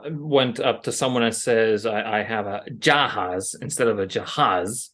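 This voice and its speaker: Very low-voiced Canadian student. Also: female voice, measured pace, fairly bright tone. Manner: curious, repeats words back, asks questions. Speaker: language student. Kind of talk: online language lesson. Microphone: video call.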